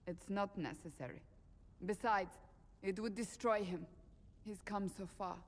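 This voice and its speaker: A woman's voice: mid-range voice, a little slow, close to an everyday voice. A woman playing a stern, forthright and principled warrior in a fantasy video game, speaking bluntly and formally. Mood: firm. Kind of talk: dramatic video game dialogue. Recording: reverberant hall.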